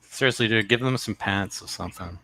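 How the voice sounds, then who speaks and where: A man's voice: fairly low pitch, a little fast, sharp tone, slight background noise, rough recording. A man chatting casually and crudely about his comic pages, laid-back and joking. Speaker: comic artist co-host. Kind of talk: casual chat.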